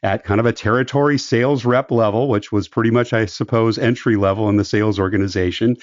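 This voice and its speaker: A man's voice: fairly low pitch, steady tone, quick pace, no echo. A man hosting a business podcast, reading guest introductions and asking questions with an enthusiastic, chatty manner. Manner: warm enthusiastic interviewer with fillers. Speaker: podcast host. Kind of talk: scripted podcast intro then interview. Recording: dull lo-fi podcast audio.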